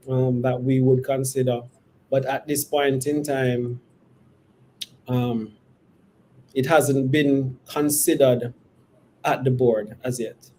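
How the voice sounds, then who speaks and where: Mellow-voiced man, measured and careful. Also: mid-range voice, low-quality recording. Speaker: company executive. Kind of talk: answering investor questions on an earnings call. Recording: steady background noise.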